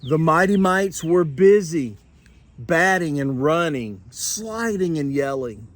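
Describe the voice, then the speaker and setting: An older man reading a children's storybook aloud with expressive, deliberate phrasing. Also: on the high side, no room sound. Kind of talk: animated children's storybook narration.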